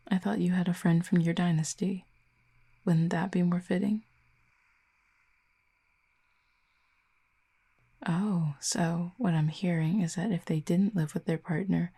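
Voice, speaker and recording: A woman playing a wry, tender, guarded Cupid in an intimate audio roleplay, with soft, breathy, husky delivery and gentle, slightly formal phrasing. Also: fairly low pitch. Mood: measured, wry.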